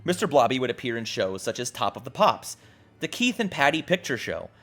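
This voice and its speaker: A man's voice: mid-range voice, very animated, brisk, fairly bright tone. A man hosting a video essay, narrating from a script with casual, profane asides in an irreverent, jokey, emphatic way. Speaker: host of a video essay show. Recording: music playing behind the voice. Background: background music.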